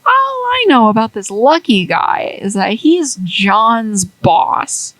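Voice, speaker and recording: A woman voicing a smug scheming businessman who talks loud and taunting. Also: sing-song, takes it slowly, dry recording, full character voice. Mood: indignant. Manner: loud, taunting, high-pitched.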